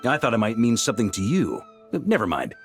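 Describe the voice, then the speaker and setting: A man voicing a breezy, confident veteran knight commander in a fantasy video game, easygoing, expressive and conversational. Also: medium pitch, fast talker, faint music behind. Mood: sheepish, breezy.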